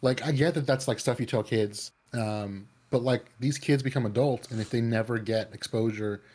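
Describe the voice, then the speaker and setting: A man's voice: mid-range voice, normal speed, darkish tone, slightly rough. A man from the Bay Area talking casually in an interview, rambling with lots of fillers and restarts. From California Bay Area. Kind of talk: casual conversation.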